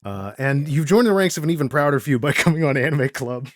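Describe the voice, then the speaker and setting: A man's voice: fairly high pitch, lively intonation, quick pace. A man hosting a casual podcast chat, introducing guests with playful jokes. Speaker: podcast host.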